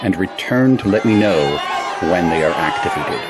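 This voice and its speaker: A man acting a deep, curt soldier henchman. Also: expressive, moderate pace, over a noisy crowd. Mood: curt, businesslike. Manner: curt, commanding. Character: gruff, obedient military henchman giving orders. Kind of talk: radio drama acting. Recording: very noisy crowded ambience.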